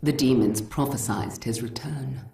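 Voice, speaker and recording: A woman playing a solemn, fervent scientist in a video game cutscene, with a gravelly voice and a measured, intense delivery. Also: extremely low pitch, mellow, full character voice. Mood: ominous, solemn. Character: grave female scientist serving demons, fervent, pleading. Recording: noisy ambient background.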